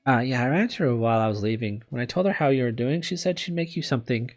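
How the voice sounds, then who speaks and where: A man voicing a caring, casual schoolgirl in a visual novel, breezy and gently bossy, with music behind. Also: mid-range voice, fast, lightly acted. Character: caring, casual schoolgirl looking after her sick girlfriend. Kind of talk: visual novel read aloud. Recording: background music under the voice.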